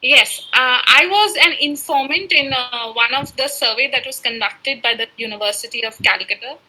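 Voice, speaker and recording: High, bright-voiced woman moderator. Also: normal speed, poor audio. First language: Urdu. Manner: courteous, deferential, addresses the speaker as sir. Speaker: webinar moderator. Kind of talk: polite formal moderation.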